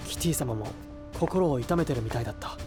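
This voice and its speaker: A man plays an idealistic young male knight in an anime-style audio drama. His voice moves widely in pitch, always sincere and earnest. Music is clearly audible underneath. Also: animated, brisk, close to an everyday voice. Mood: troubled. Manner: sincere, swinging from subdued to eager. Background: prominent background music.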